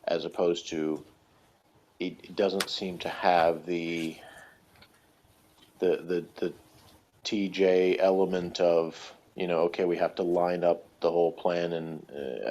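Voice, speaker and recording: A man's voice: low voice, unhurried, gravelly, darkish tone. A man in casual analytical chat, talking in a hesitant, tentative way as he thinks aloud. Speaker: guest reviewer discussing TV characters. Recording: dull lo-fi voice recording.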